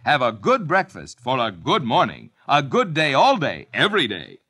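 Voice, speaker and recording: A man's voice: medium pitch, huge pitch swings, moderate pace, sharp tone, breathy edge. A man reading a 1950s radio commercial in an upbeat, persuasive way. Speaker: commercial announcer.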